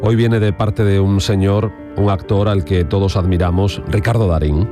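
A man's voice: sits low, steady tone, moderate pace. A man, hosting a radio show in a chatty, conversational way. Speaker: radio host. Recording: radio with music bed.

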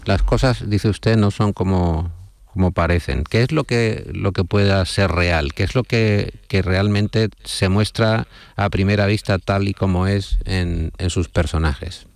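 A man's voice: low-pitched, a little slow, gravelly, no room sound. A man interviewing a guest on radio, asking long, formal questions with frequent restarts.

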